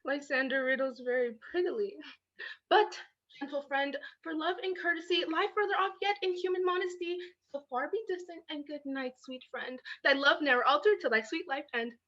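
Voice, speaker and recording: Woman as a modest young noblewoman, verse, very high, bright tone. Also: moderate pace. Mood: gentle. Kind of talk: Shakespearean stage acting. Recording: dull lo-fi sound.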